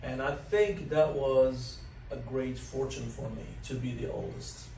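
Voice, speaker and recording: Slow, rambling man from Morocco. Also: medium pitch, fairly even intonation, darkish tone. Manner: rambling, chuckles often, many fillers. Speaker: interview guest, dining director. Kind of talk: conversational life-story interview. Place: large learning center room. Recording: echoey room from a distance, faint noise.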